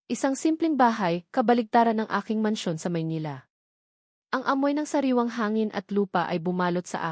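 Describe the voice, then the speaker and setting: A woman narrates a scripted first-person love story in an emotive, melodramatic way with deliberate phrasing. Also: medium pitch, darkish tone, dry recording, clean studio sound. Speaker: audio drama narrator.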